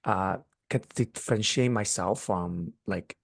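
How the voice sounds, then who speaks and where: A man whose first language is Cantonese, co-hosting a casual podcast chat in a halting, soft-spoken way with frequent restarts. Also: on the low side, vocal fry, breathy edge, no room sound, clean studio sound. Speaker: podcast co-host. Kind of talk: casual conversation.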